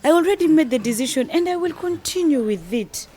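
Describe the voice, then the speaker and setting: A woman's voice: high voice, lively intonation, a little fast, warm tone. A woman playing a determined, persuasive young wife in an educational radio drama, advising her friend with assured emphasis. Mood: resolute.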